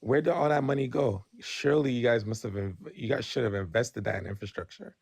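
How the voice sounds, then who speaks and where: A man's voice: medium pitch, a little fast, gravelly, a little mellow, husky, dry recording. A Nigerian-born man, talking bluntly in a casual podcast debate and tagging his points with "right?". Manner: candid, blunt, frequent restarts and "right?" tags.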